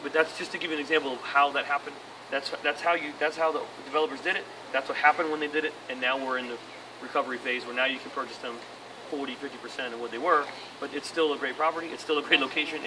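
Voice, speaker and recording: Fast-talking man, far from the mic. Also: medium pitch, fairly even intonation. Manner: rambling, repeats and restarts words. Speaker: real estate agent explaining condo conversions. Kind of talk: casual explanation on a property tour. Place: apartment complex outdoors, then a condo unit. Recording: distant voice with background noise.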